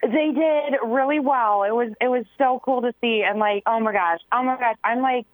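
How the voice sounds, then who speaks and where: A hoarse woman in her forties with a raspy voice, excitedly talking as a contest winner on a call. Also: a little high, moderate pace, no room sound, narrow, tinny audio. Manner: excitable, gushing. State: hoarse from cheering. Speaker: radio contest winner on the phone.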